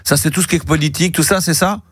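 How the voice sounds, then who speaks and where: A man hosting a radio call-in show, teasing the caller with playful jokes and deliberate mishearings. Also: a little high, slightly rough, no room sound, clean studio sound. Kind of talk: joking call-in banter. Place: radio studio. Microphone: studio microphone.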